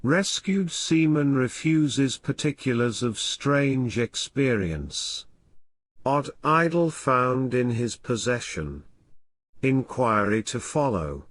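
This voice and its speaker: A man reading a classic horror story aloud as scripted narration, in a measured, formal, literary manner. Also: mid-range voice, lively intonation, no echo. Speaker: audiobook reader.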